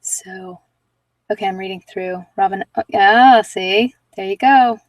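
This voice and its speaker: A woman with a mid-pitched voice hosts a livestream in a loose, rambling chat. She is informal and candid, with a self-deprecating streak. The sound is dry, and she sits a little far from the mic. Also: measured pace, no room sound. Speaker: livestream host giving card readings. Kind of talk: casual rambling chat with live viewers.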